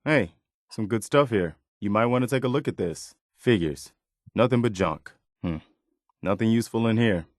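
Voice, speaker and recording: A male actor as a loyal militia officer from a wasteland video game. His voice sits on the low side and is recorded dry and close. He speaks with measured, conscientious earnestness and a touch of weariness. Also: dry recording, lightly acted. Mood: casual, helpful. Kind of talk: video game character dialogue.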